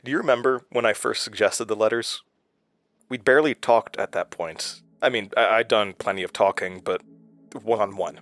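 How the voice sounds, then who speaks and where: An actor playing a haunted, weary, wry man who confides his story haltingly in a horror audio drama. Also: on the low side, a bit bright, dry recording, lightly acted. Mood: wistful, hesitant. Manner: hesitant, confessional, halting. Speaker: lead character and narrator of a horror audio drama. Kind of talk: horror audio drama acting, narration and intimate dialogue.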